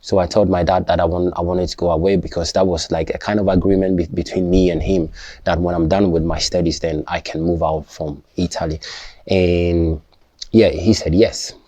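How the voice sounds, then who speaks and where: A man with a deep voice and a mellow, dark tone, speaking English as a second language in an unscripted vlog. He talks in fits and starts, restarting his sentences and checking that the listener follows. The recording is dry, with almost no room sound. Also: flat delivery, no echo. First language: not English. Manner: halting, restarts often, asks "you understand?". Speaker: vlogger. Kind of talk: casual personal talk to camera.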